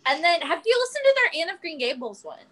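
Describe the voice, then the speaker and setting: A woman's voice: very high voice, big pitch swings, fast talker, pushed and bright. A woman guest on a podcast, chatting casually in a candid way. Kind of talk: casual chat.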